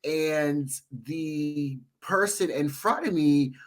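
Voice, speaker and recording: Congested, nasal male co-host with a slightly high voice; animated, jokey storyteller. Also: extremely slow. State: congested with a head cold. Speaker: podcast co-host. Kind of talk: casual podcast chat.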